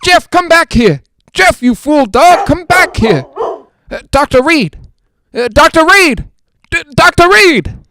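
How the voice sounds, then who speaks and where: A man playing an excitable, skeptical reporter in a 1940s-style radio drama, hesitant and stammering when rattled. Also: very high voice, slow pace, dry recording, studio quality, exaggerated voice. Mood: alarmed. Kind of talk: 1940s radio drama acting, live on-the-scene commentary.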